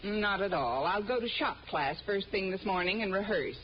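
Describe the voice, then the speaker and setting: An actress playing a wry, quick-witted schoolteacher with dry, sardonic delivery in an old-time radio comedy. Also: female voice, medium pitch, expressive, close to an everyday voice. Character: wry, wisecracking adult female schoolteacher. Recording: muffled old radio broadcast, faint noise.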